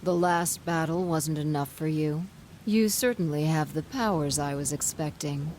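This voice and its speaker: A woman voicing a haughty, scornful goddess villain in a video game cutscene, speaking in a measured, condescending and theatrical way. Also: sits low, darkish tone, slight background noise, close to an everyday voice. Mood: coolly amused. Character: haughty, scornful goddess, coolly amused villain behind it all.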